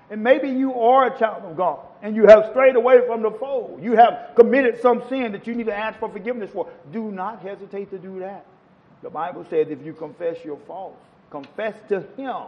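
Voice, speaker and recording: A man's voice: high-pitched, in a large hall, dull audio. A man preaching a sermon to a congregation, quoting scripture with rising and falling emphasis. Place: church.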